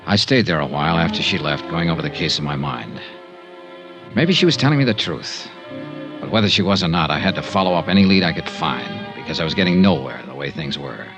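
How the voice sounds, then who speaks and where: A man's voice: rumbling low, animated, brisk, over music. An actor playing a wry, tough American insurance investigator who narrates his case in clipped, hardboiled 1950s radio detective style. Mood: hardboiled narration. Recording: noisy old radio drama recording.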